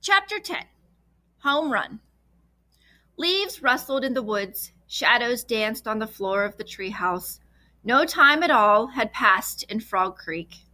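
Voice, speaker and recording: A woman reading a children's book aloud as an expressive storyteller, switching between plain narration and animated dialogue. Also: fairly high pitch, slow pace, dry recording.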